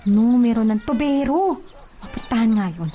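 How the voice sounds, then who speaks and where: Woman acting a playful young housemaid, high voice, very animated swoops, Filipino accent, teasing radio-drama delivery. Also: normal speed, slight background noise, natural voice. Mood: puzzled, fussing. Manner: teasing, exclaiming, talking to herself.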